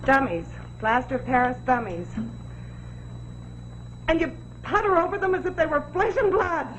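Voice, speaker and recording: Shrill, bitter nagging wife. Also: female voice, lively intonation, normal speed, dull audio. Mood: scornful. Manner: scornful, melodramatic, hectoring. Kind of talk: 1940s suspense television acting. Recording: noisy 1940s television soundtrack.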